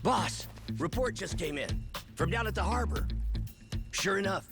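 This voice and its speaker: High-voiced gang underling. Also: male voice, big pitch swings, a little slow, creaky, heavily acted. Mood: urgent. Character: urgent, dutiful male gang underling reporting. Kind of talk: video game cutscene acting. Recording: loud music behind the voice.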